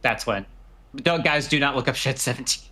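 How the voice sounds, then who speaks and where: A man hosting a casual livestream chat, speaking haltingly and often repeating his phrases. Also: medium pitch, bright, forceful tone, a little hiss or hum. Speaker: livestream host. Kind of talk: casual banter.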